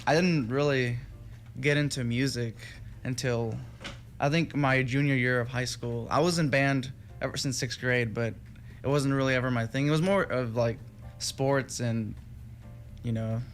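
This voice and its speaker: A man's voice: medium pitch, fairly even intonation, moderate pace. A young man from Texas rambles through a casual interview, circling back with fillers and restarts. Faint music plays under his talk in a radio studio. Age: young adult. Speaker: guest musician.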